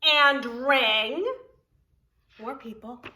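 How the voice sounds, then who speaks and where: A woman reads aloud as a preschool teacher. Her voice is fairly high and very bright, and she takes her time, enunciating deliberately and expressively for young children. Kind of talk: read-aloud for young children with counting lesson.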